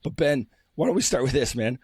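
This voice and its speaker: A man hosting a podcast interview while losing his voice from a cold, chatting in a friendly, halting way with many fillers. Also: medium pitch, animated, a little mellow, husky, dry recording, studio quality. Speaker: podcast host. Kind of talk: casual interview chat.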